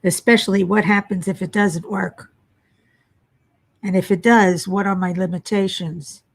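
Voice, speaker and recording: An older woman hosting a casual livestream from home, chatting and answering viewers, her voice at times a little low and raspy from a frog in her throat. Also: fairly even intonation, measured pace. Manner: chatty, self-deprecating, reads chat names aloud. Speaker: livestream host. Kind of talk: casual chat reading viewer comments. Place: home workspace.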